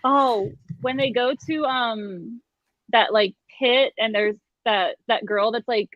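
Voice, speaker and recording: Higher-voiced woman chatting, slightly dull sound. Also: slow, dry recording. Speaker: co-host of a book discussion livestream. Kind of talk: casual chat.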